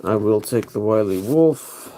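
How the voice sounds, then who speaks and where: A man's voice: on the low side, lively intonation, a little slow, mellow, a little hiss or hum. A man hosting a casual livestream, thinking aloud as he picks cards. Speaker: livestream host.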